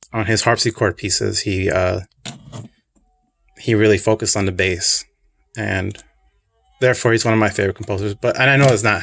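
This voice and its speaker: A man casually reviewing a collectible pin, soft-spoken and rambling, with a voice he calls calming. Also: lowish voice, creaky, dry recording. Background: classical music.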